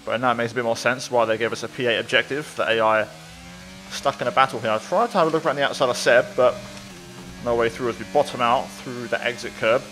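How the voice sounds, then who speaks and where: A man's voice: mid-range voice, steady tone, fast, a bit bright, a little hiss or hum. Casual man commentating on racing gameplay. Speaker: gaming video creator.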